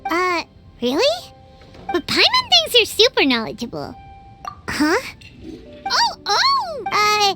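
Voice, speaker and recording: A woman voicing a tiny, childlike, flustered fairy companion in a video game, squeaky and stammering. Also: full character voice. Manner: squeaky, stammering, refers to self by name. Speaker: voice actor. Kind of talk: video game dialogue acting.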